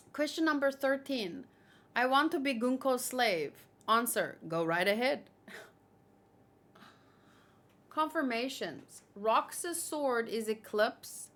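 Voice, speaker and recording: A woman doing casual livestream commentary, reading aloud and reacting in a chatty, expressive way. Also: a little high. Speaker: manga read-along streamer.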